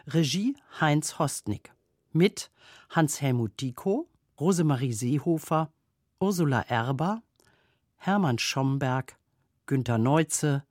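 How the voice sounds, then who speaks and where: A man presenting a radio programme in a scripted, measured announcing style and putting questions to a guest. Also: mid-range voice, a little mellow, dry recording, studio quality. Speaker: radio host. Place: dry broadcast studio. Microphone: close studio microphone.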